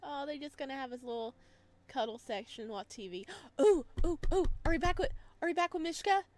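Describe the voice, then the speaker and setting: Expressive woman hosting a playthrough. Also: high-pitched, brisk. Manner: playful, expressive reactions, addresses viewers. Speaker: let's play host. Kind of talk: visual novel playthrough, chatty commentary and read-aloud narration.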